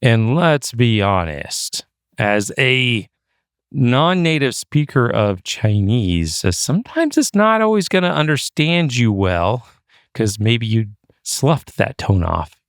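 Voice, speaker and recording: A man's voice: mid-range voice, very animated, takes it slowly, clear, resonant tone, no room sound, clean studio sound. A man co-hosting a podcast, chatty and loose, with frequent fillers. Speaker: podcast co-host. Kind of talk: casual podcast chat.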